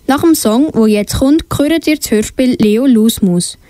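A young radio presenter makes a scripted announcement. The voice is soft-toned and slightly high, and the delivery is slow. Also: no room sound. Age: child or teenager.

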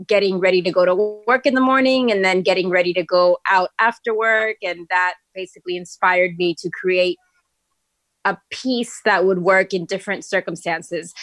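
A woman's voice: fairly low pitch, fairly bright tone, dry recording. Woman from Miami, close dry recording. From Miami, United States. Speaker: interview guest, fashion entrepreneur. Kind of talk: conversational personal storytelling.

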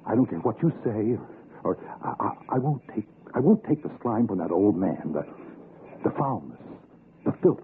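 An actor playing a frightened, desperate middle-aged husband, stammering in an old radio suspense drama. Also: male voice, on the low side, sing-song, normal speed, narrow, tinny audio. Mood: panicked disgust. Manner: stammering, breaking off anxiously. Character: frightened, pleading, aging husband trapped and desperate. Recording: thin, noisy 1960s radio recording.

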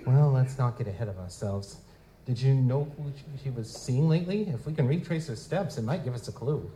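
Man acting a mellow, streetwise private eye, noisy recording. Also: mid-range voice, expressive, a little fast, close to an everyday voice. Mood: probing, dry. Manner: casual, slangy noir sleuth. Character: wry, streetwise male goblin-like private investigator. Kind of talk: live audio drama dialogue and first-person narration. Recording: noticeable background noise.

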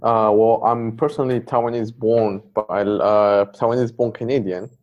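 Halting, muffled low-voiced man. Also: fairly even intonation, a little mellow. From Poland. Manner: halting, many fillers and restarts. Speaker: crypto fund investor guest. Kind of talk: interview answers.

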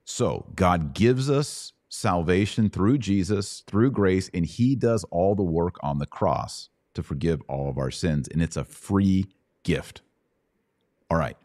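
A man's voice: sits low, animated, slow pace, darkish tone, no room sound, studio quality. A middle-aged American man hosting a conversational religious question session, explaining step by step and checking understanding with short questions. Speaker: host answering Bible questions.

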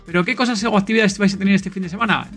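Man, Spain accent, high voice, forceful bright tone, informal podcast chat, enthusiastic, faint music behind. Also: very fast. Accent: Spanish from Spain. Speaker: podcast host. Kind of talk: casual podcast announcements and chat.

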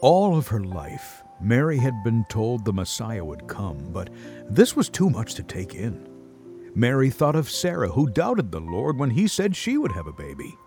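A man's voice: mid-range voice, sing-song, normal speed, mellow, faint music behind. A man narrating a scripted Bible story in a dramatic storytelling style.

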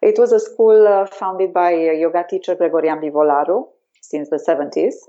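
A woman's voice: on the low side, steady tone, fairly quick, mellow, lo-fi sound. A woman from Romania with a Romanian accent, a yoga teacher speaking in an interview, hesitant with many fillers. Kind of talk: remote interview.

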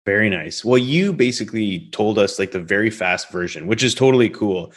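A man from Canada hosting a podcast, chatting in a friendly, relaxed way with frequent restarts. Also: fairly low pitch, fairly quick. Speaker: podcast host. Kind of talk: casual interview chat.

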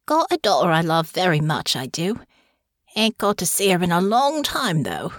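A woman voicing a humble young male airship crewman with a soft, homey rural drawl and folksy grammar. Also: fairly low pitch, big pitch swings, moderate pace, no room sound, clean studio sound. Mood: wistful. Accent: rural country dialect. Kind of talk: audiobook character voice.

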